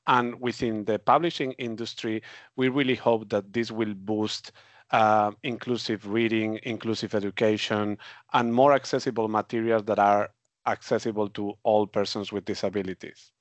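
A man from Spain giving a deliberate prepared statement about policy into a headset. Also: on the low side, steady tone, dry recording. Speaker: policy director.

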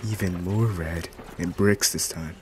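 Low-voiced man doing goofy deadpan dubbing. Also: a little slow, slight background noise. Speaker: amateur fan dubber. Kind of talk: improvised comedic voice-over of a cartoon.

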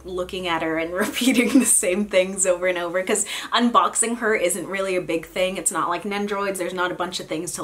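Young woman, raspy sick voice, casual chat, self-mocking, lots of ums, home room, desk camera. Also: mid-range voice, a bit bright. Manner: chatty, self-mocking, frequent ums and likes. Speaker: figure collector vlogger. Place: home room with figure shelves. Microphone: camera at desk distance.

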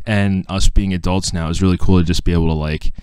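Laid-back young podcast host, smooth low voice. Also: male voice, fast talker, dry recording. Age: 20s. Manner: relaxed, rambling with many "like"s. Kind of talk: casual chat. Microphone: video call.

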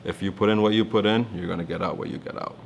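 Man, 30s, from Toronto; low, reflective, earnest; seated studio testimonial with slight background noise. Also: steady tone, quick pace, gravelly. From Toronto, Canada. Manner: earnest, reflective, gestures while talking. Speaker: alumnus physician. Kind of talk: interview testimonial. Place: studio with branded backdrop, indoors.

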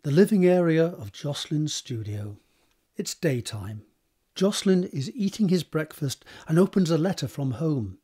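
Husky man narrating a screenplay. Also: a little high, expressive, normal speed, warm tone. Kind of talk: scripted narration of stage directions.

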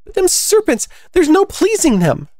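Woman acting out a storybook mother pigeon, high-pitched, frantic, scolding, exasperated, swooping intonation. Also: sing-song, moderate pace, darkish tone, no room sound, clean studio sound. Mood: desperate. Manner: shrieking, desperate, sobbing complaints. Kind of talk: storybook character voice.

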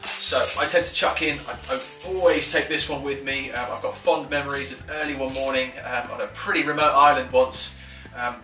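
A man, giving a chatty, enthusiastic fishing tackle tutorial at a table at home, with filler words and quick restarts. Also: medium pitch, steady tone. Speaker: fishing instructor. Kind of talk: casual tackle tutorial to camera. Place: living room at a wooden table. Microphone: camera a few feet away. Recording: roomy, slightly distant, faint music.

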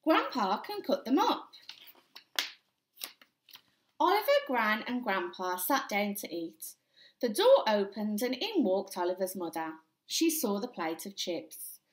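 A young woman reads a picture book aloud to small children. Her delivery is animated and deliberate, with a very lively pitch. The sound is roomy, like a small echoey room. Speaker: primary school story time reader. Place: small indoor room with bare walls.

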